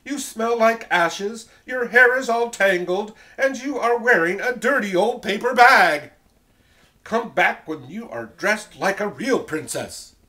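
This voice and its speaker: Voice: mid-range voice, expressive, takes it slowly, sharp tone, voice pushed up. A storyteller voicing a vain, fussy young prince with a haughty, scolding manner. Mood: disdainful.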